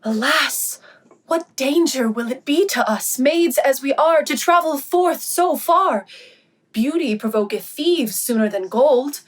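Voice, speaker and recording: A woman playing a young noblewoman at court in a Shakespearean radio play, with formal, measured delivery. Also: high voice, animated. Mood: anxious, plucky.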